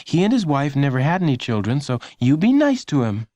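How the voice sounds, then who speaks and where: A man voicing a gentle, reassuring mother in a children's audiobook. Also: medium pitch, sing-song, brisk, no echo, pitched above the performer's own voice, close to an everyday voice.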